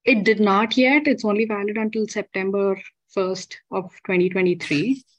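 A woman's voice: mid-range voice, steady tone, normal speed, dry recording. Woman caller on dry, muffled call audio. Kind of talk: asking questions on a call.

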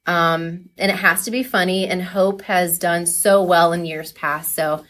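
A woman talking casually and enthusiastically as a coach being interviewed, chatty and quick to laugh. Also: fairly low pitch, steady tone, fairly bright tone.

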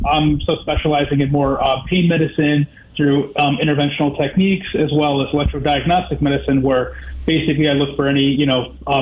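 A man from Connecticut, a physician guest in a casual interview, relaxed and friendly with many ums. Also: fairly high pitch, roomy sound, lo-fi sound.

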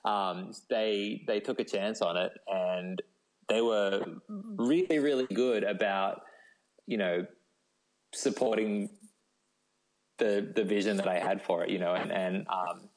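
Australian man, lowish voice, novelist being interviewed, informal and discursive, conversational filler words. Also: slow, poor audio. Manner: informal, discursive, frequent 'like' and 'you know'.

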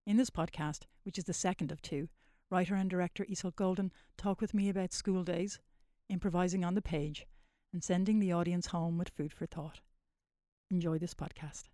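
A woman hosting a podcast, reading a polished introduction and then asking casual, measured interview questions. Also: lowish voice, steady tone, dark tone. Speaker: podcast host, talks coordinator.

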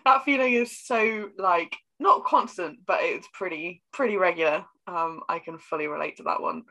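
Co-host: woman, twenties, lowish pitch, crisp bright tone, rambling. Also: normal speed, rough recording. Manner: teasing, rambling, many ums and likes. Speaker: podcast co-host. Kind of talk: casual chat.

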